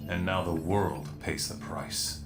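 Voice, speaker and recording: Deep, grave superhero. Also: male voice, fairly even intonation, takes it slowly, creaky, full character voice. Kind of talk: video game cutscene acting. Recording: roomy echo, music behind.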